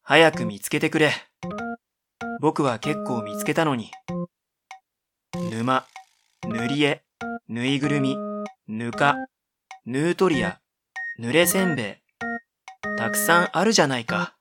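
A man's voice: medium pitch, slow pace, long pauses, over music, lightly acted. A man voicing a dry-witted, exasperated middle-school boy who narrates and makes matter-of-fact retorts in a comedic radio drama.

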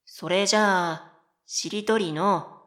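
A woman voicing a polite, whimsical and stubborn middle-school girl in a comedic radio drama, deadpan and following her own rhythm. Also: medium pitch, slow. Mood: earnestly deadpan. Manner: polite speech, deadpan, self-paced.

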